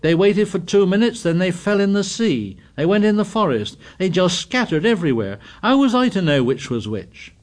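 A man's voice: a little high, lively intonation, unhurried, pitched above the performer's own voice. A man voicing an indignant, fussy schoolboy who scolds breathlessly in dialect grammar. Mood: protesting.

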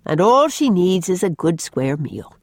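A woman voicing a brisk, kindly male doctor, matter-of-fact and decisive, in a storybook. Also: lowish voice, sing-song, dry recording, studio quality, exaggerated voice. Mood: relieved.

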